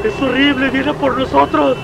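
Un hombre que interpreta a un vigilante nocturno, padre de familia, aterrado, en un relato de terror dramatizado. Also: male voice, mid-range voice, steady tone, moderate pace, background noise, close to an everyday voice. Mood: terrified, pleading. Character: terrified night watchman, a father breaking down in panic. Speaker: storyteller in a horror story dramatization. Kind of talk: dramatized first-person horror narration. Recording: music behind the voice.

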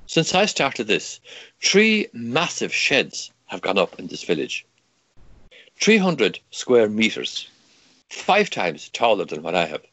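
An older Irish man with a Kerry accent, a native Irish speaker, argues in English with heated emphasis, stumbling over repeated words before pushing his point. His low voice swings widely in pitch. The sound is close and dry, a little dull. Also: huge pitch swings, takes it slowly, fairly bright tone, no echo. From Ireland. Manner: emphatic, stammering restarts, rhetorical questions. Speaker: guest, campaigner. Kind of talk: impassioned interview argument.

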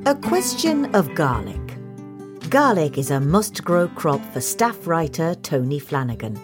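A woman with a British accent reading a scripted instructional magazine article as narrator. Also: low voice.

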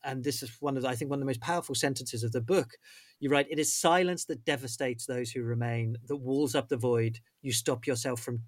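A man's voice: medium pitch, steady tone, brisk, no room sound. A man interviewing an author, thoughtfully reading passages from her book and building questions around them. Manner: thoughtful, reads quotes aloud. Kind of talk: literary interview.

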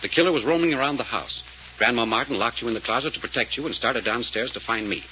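Guy doing old radio detective, quick and forceful, sure of himself, muffled noisy recording. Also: medium pitch, close to an everyday voice. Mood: firm, certain. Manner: firm, authoritative, explaining. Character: brisk, decisive male detective, grimly certain. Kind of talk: 1940s radio mystery acting.

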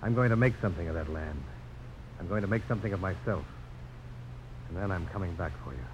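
An actor playing a grim, resolute frontiersman in 1950s American radio drama, with terse, deliberate speech. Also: low voice, expressive. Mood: solemn, determined. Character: grim, resolute frontiersman duelist, laconic and steady. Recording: muffled, noisy old radio broadcast.

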